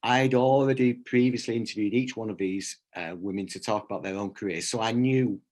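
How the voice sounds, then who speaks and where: A man's voice: lowish voice, a little fast, a little mellow. A man speaking conversationally as a workshop presenter, explaining through anecdotes and little examples of dialogue. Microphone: video call.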